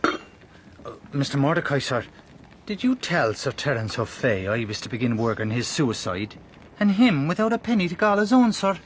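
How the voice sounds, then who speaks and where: Man acting a mid-pitched, wry Irish workman. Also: big pitch swings, moderate pace, noisy background, natural voice. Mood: wry, folksy. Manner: wry, deferential, folksy. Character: plain-spoken, honest Irish craftsman, wry and garrulous. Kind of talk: period radio drama acting.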